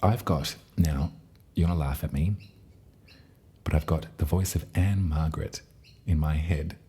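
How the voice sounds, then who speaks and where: A man's voice: a bass voice, unhurried, mellow, slightly rough. A man giving a chatty, affectionate tarot reading, speaking straight to his listeners. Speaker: tarot reader.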